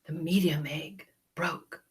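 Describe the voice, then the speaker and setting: A woman reading a children's story aloud in a dramatic, deliberate way, with hushed moments. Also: low-pitched, steady tone, slightly rough. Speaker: storybook reader.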